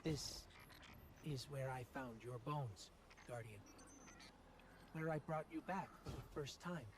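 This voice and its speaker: A man's voice: mid-range voice, slow pace, background noise, natural voice. A man playing a small, devoted robotic companion in a video game, speaking with earnest hope. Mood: awed. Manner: earnest, solemn encouragement. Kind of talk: dramatic video game dialogue.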